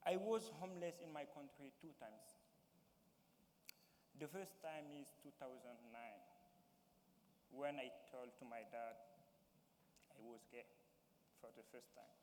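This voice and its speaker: A young man from Mali with a Malian accent, giving halting personal testimony as a town hall panelist and searching for words in English. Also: medium pitch, steady tone, darkish tone. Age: young adult. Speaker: youth panelist. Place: large hall. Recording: reverberant hall with faint noise.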